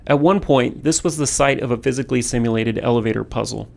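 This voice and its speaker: A man's voice: medium pitch, quick pace, creaky, a little mellow. A man speaking as a game developer, narrating commentary.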